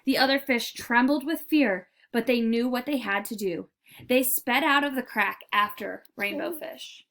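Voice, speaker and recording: High-voiced woman reading a children's story. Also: measured pace, fairly bright tone, a little hiss or hum. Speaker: read-aloud storyteller. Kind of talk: children's picture book narration.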